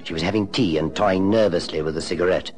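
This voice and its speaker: An actor playing a courteous British private investigator in a radio drama, with an urbane, measured and polite manner. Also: male voice, low-pitched, a little mellow, soft background music, close to an everyday voice. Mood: storytelling. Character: suave, courteous male private investigator and agency boss. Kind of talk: radio drama dialogue and narration.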